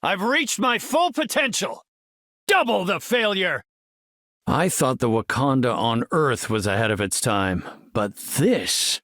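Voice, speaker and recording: An actor playing a brilliant, composed superhero scientist in a video game, with articulate, earnest, scholarly delivery. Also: male voice, fairly high pitch, big pitch swings, takes it slowly, sharp tone, dry recording, clean studio sound, exaggerated voice. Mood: confident, determined. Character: brilliant, composed male superhero scientist leading his team. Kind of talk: video game character voice lines.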